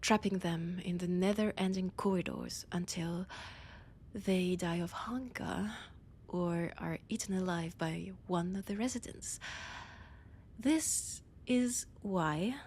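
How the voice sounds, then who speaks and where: A woman playing a possessive vampire scholar with a French accent, in a theatrical, breathy audio roleplay monologue with dramatic pauses. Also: lowish voice, a little mellow, a little hiss or hum. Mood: proud, ominous, then possessive, menacing. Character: annoyed, possessive female vampire scholar, ancient library guide.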